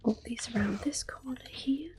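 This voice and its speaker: A woman speaking in a hushed, unhurried, gentle whisper as she gives ASMR game commentary. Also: high voice. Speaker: host of an ASMR gameplay series. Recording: clicking controller noise. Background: controller clicks.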